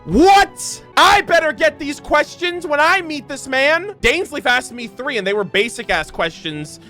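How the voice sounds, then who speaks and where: Bright, forceful male game streamer. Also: extremely high pitch, lively intonation, measured pace, faint music behind. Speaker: streamer reacting to game lore. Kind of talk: reading lore aloud with casual commentary.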